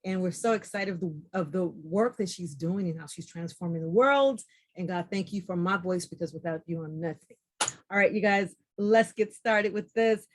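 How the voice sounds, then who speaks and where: A woman hosting a podcast in an excited, effusive way, often repeating phrases for emphasis. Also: lowish voice, normal speed. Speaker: podcast host.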